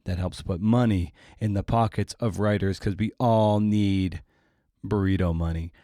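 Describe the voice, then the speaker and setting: A man's voice: deep voice, steady tone, a little slow, dark tone, no room sound, clean studio sound. A man hosting a podcast, delivering a casual, irreverent intro with self-mocking jokes. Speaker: podcast host.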